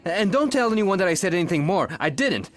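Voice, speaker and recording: An actor playing a defensive, fussy office boss in a scripted comedy, speaking in a prim, stilted way. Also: male voice, high voice, very animated, a little fast, a little hiss or hum. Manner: prim, stilted formal wording. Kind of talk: scripted workplace comedy acting.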